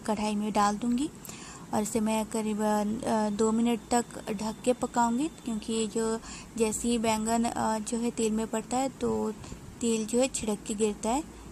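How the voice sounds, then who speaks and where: Unhurried woman narrating a home recipe. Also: a little high, darkish tone, a little hiss or hum, rough recording. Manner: unhurried, explanatory, frequent hesitations. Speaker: home cook. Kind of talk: step-by-step cooking voice-over.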